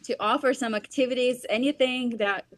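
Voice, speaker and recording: A woman's voice: a little high, brisk, dry recording. Young woman, Belarusian accent, native Russian speaker; enthusiastic guest with filler-heavy speech, dry studio sound. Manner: enthusiastic, frequent ums and uhs. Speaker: festival organizer guest. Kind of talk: casual interview chat.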